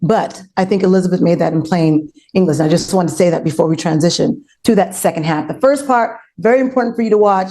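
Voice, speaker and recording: A woman's voice: fairly low pitch, quick pace, hardly any pauses, mellow. A woman speaking in an earnest, explanatory way in an unscripted panel discussion.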